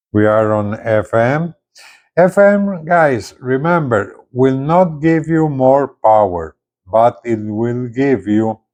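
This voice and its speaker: Male product reviewer, deep-ish voice, unhurried and halting, casual hands-on walkthrough. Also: a little mellow. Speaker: gadget reviewer presenting a CB radio.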